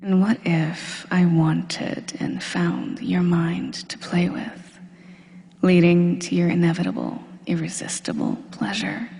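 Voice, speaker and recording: A woman speaks very slowly in a creaky voice washed in long, hall-like reverb. She delivers an intimate hypnosis monologue with deliberate, suggestive control. Her phrases often sink into a breathy near-whisper as she teases and commands. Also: on the low side, dark tone. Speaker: hypnosis audio performer.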